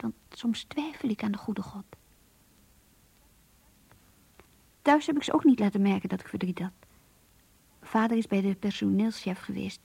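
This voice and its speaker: A woman's voice: medium pitch, creaky, warm tone, breathy edge, no room sound, poor audio. An actress playing an earnest, melancholy young office clerk reading her diary in a radio drama, introspective and subdued. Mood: wistful, resigned.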